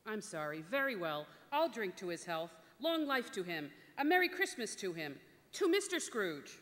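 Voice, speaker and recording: Woman playing a gentle mother in a reverberant hall. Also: medium pitch, big pitch swings, normal speed. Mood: reluctant. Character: gentle, grieving mother and wife. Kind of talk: staged radio drama reading. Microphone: stage mic on stand. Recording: reverberant noisy theatre hall.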